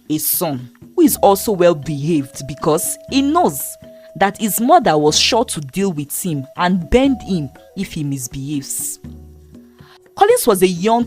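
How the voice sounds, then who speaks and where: A woman narrating a moral folktale in a steady, expressive storytelling manner. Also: deep voice.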